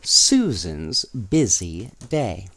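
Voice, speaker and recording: A man reading children's stories aloud in an expressive, playful way, with casual asides to young listeners. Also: sits low, very slow, vocal fry. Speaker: storyteller.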